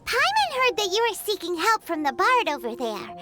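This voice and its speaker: Game mascot: tiny cheeky fairy girl, extremely high and bouncy, music underneath. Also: wildly swooping pitch, normal speed, heavily acted. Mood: encouraging. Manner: speaks of herself in third person, chirpy, forthright. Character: small childlike floating fairy companion girl, perky and cheeky. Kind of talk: video game character acting. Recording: music behind the voice.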